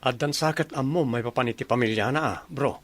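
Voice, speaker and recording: A man's voice: medium pitch, a little fast, lightly acted. A man playing a dutiful young army officer and devoted son in a radio drama. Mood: earnest.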